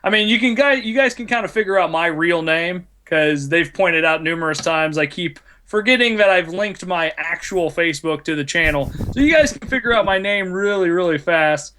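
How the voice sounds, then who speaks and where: A man's voice: high voice, moderate pace, hardly any pauses, sharp tone, a little hiss or hum. A man in his late twenties, raised in the United States, rambling and mocking himself as a podcast host. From Ireland, raised in the United States. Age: 20s. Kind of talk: casual chat.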